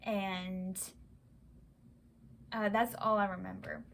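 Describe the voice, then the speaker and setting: A young woman vlogger telling a personal story in a halting way, with many fillers and restarts. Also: medium pitch, little pitch movement, gravelly, fairly bright tone, a little hiss or hum. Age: young adult. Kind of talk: casual personal account.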